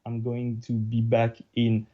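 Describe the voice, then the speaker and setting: A man with a French accent, French his first language, speaking as a guest on a video call, halting as he translates on the fly. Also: medium pitch, completely flat delivery, dry recording, dull audio. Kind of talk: casual interview over video call.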